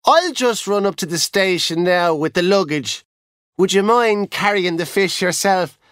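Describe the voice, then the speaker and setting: A man's voice: on the high side, unhurried, fairly bright tone, clean tone, no echo, studio quality, pitched above the performer's own voice. A man voicing a polite, earnest young hotel boy. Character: polite young Irish hotel boy, weary yet helpful.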